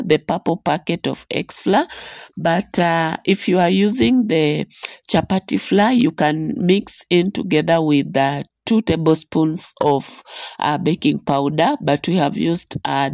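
Baking vlog host, woman, low raspy voice from a cold, dry close voice-over. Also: darkish tone, no echo. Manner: instructional, many fillers and restarts. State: hoarse from a bad cold. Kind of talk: voice-over for a cooking video. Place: voice-over recorded separately, dry room.

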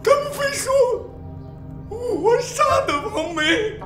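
Film drama: anguished wronged prisoner, tearful and pleading, very high-pitched, music behind. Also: male voice, very animated, slow pace, fairly bright tone, heavily acted. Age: middle-aged. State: crying. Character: wronged middle-aged male prisoner, anguished, pleading innocence. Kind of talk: emotional screen drama acting. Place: bare prison interview room. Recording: echoey room with music behind.

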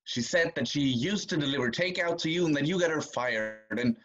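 A man's voice: on the high side, a little fast, a bit bright, natural voice. A young man playing a guilty, anxious, evasive cheating husband in a video-call play. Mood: anxious, urgent. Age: young adult. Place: small room indoors. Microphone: video call, webcam. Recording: dull lo-fi video-call audio.

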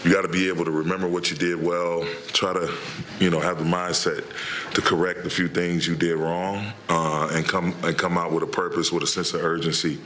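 A middle-aged man, a head coach, answering press questions after a game in a halting way with many restarts, speaking into a table microphone in a press room. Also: low voice, flat delivery, fairly bright tone, slight background noise. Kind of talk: postgame press conference answers. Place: press room in front of a logo backdrop.

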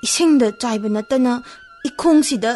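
A woman playing a weary, frail talking flower longing for water, plaintive and husky, in a radio play. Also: high voice, very fast, darkish tone, close to an everyday voice. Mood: weary, wistful. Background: faint music.

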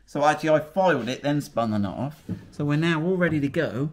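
British guy tinkering in a workshop garage, bit high voice, chatty and self-mocking, faint workshop hiss. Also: lively intonation, a little fast. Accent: British English. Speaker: workshop presenter. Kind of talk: casual how-to chat while working.

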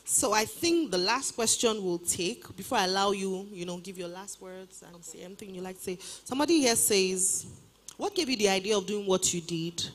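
A woman's voice: medium pitch, expressive, normal speed. A woman interviewer on a stage, politely reading audience questions aloud and thanking her guest, on a handheld mic in a large auditorium.

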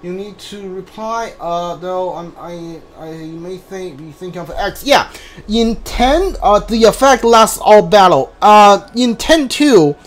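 A man streaming a game with casual, halting commentary, often repeating himself. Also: high voice. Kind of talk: casual live playthrough commentary. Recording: steady background noise.

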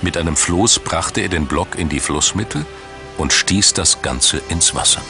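Deep male doc narrator, measured n dramatic, music behind him. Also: lively intonation. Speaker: documentary narrator. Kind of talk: scripted documentary narration. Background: background music.

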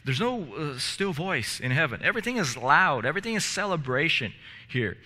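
A man in his thirties preaches in a church sanctuary, his voice strikingly bright and a little high. He talks earnestly, stumbles into restarts and repeated words, and a long hall reverb trails behind him on a headset mic. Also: very animated, fairly quick. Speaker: pastor. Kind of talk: sermon. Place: church sanctuary in front of stage steps.

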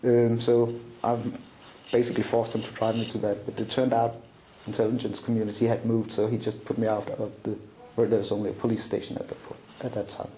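A man's voice: fairly low pitch, completely flat delivery, a little fast, dark tone, echoey room, slight background noise, poor audio. A middle-aged man with a Danish accent recounting a personal story in an interview, with many fillers and restarts. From Denmark. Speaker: interview guest. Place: small room indoors, seated at a table.

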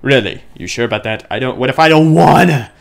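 A man's voice: mid-range voice, a little fast, vocal fry. A man giving casual, goofy let's play commentary on a horror game, talking to himself as he plays.

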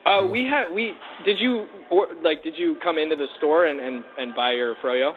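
Over a thin phone line with faint shop noise, a young American man handles a customer. His voice is on the high side, and he is polite and somewhat hesitant, like an employee at the counter. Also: moderate pace. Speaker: frozen yogurt shop employee. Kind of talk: customer service phone talk. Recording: tinny noisy phone line.

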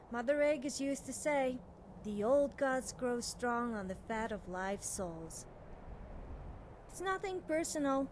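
A woman's voice: on the high side, slight background noise. A young woman playing an eager, nervous, cheerfully amoral witch student in video game dialogue, chatty and girlish, often hesitating and backtracking.

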